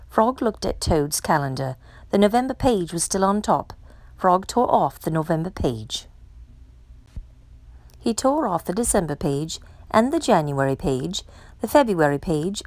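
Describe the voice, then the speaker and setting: A woman's voice: fairly low pitch, darkish tone, dry recording. A woman narrating a children's storybook as a read-aloud for storytime. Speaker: storytime reader.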